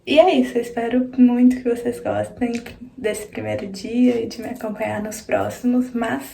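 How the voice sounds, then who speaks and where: Chatty young woman with a gravelly voice. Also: fairly high pitch, fairly even intonation, normal speed, warm tone, a little hiss or hum. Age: young adult. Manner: chatty, many fillers. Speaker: student vlogger. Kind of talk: casual vlog chat. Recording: echoey room, faint music.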